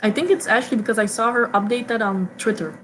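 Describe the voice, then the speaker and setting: A young woman from Belgium whose first language is Dutch, hosting a casual reading chat over a video call, chatty and quick to stumble over her first words. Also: a little high, fairly bright tone, a little hiss or hum. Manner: chatty, repeats words when starting sentences, laughs often. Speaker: host of reading sprints. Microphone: video call mic.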